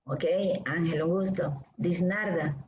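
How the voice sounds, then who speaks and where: A woman from Guayaquil, a lecturer briskly calling the roll in an online class over a video call. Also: low voice. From Guayaquil, Ecuador. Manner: brisk, friendly, calling names. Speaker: dermatology lecturer. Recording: echoey dull video-call audio with faint hiss.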